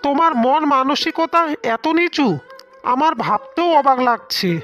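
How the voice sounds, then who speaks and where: A woman's voice: high-pitched, lively intonation, unhurried, a bit bright. A woman playing an aggrieved village husband and protective father in a cartoon fairy tale. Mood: indignant, firm. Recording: music under the voice.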